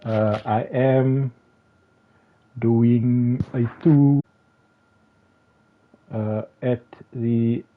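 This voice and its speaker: A slightly hoarse man commentating his game live, talking haltingly with many restarts and fillers. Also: medium pitch, fairly even intonation. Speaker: game streamer. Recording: tinny phone-like sound with faint music.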